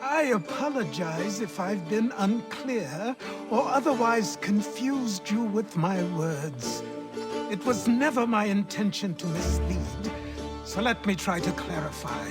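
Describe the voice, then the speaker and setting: An actor playing a gruff, bitter older mentor who talks with curt, scornful sarcasm in a dramatic video game. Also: male voice, high-pitched, lively intonation, slow pace, loud music behind the voice, heavily acted. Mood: curt, sarcastic. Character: bitter, gruff old mentor guarding his land.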